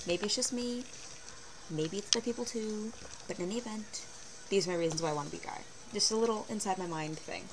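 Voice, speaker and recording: Chatty teen girl on a noisy webcam. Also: fast talker. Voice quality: fairly low voice for a woman. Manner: chatty, animated, sarcastic asides. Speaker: vlogger. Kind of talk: casual webcam vlog. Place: small bedroom, indoors. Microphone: built-in webcam mic. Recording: noisy low-quality webcam recording.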